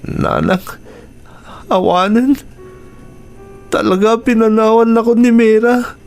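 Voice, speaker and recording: A man's voice: very high voice, steady tone, slow, a little mellow, exaggerated voice. A man acting a remorseful husband whose wife has left him, in an Ilocano radio drama with an Ilocano accent. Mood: sorrowful. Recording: radio drama with music underneath.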